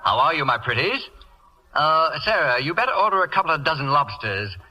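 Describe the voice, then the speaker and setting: Imperious middle-aged wit, very bright forceful voice, swooping intonation, waspish mock-sweetness, vintage radio comedy. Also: male voice, a little high, sing-song, fairly quick, exaggerated voice, poor audio. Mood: delighted. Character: imperious, acid-tongued middle-aged celebrity who uses a wheelchair. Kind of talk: 1940s radio comedy acting. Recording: vintage radio broadcast recording.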